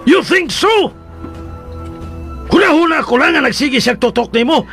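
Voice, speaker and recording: Volatile, possessive Filipino husband, wildly swinging pitch. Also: male voice, lively intonation, a little slow, heavily acted. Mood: jealous, angry. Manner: theatrical, swinging from barked demands to pleading. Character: jealous, possessive young husband, volatile and childishly needy. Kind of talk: radio drama acting. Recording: loud dramatic music bed.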